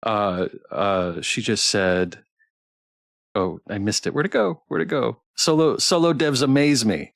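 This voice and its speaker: A man hosting a livestream chat, speaking in an enthusiastic, halting way with repeated restarts. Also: lowish voice, vocal fry. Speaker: livestream host interviewing a game developer.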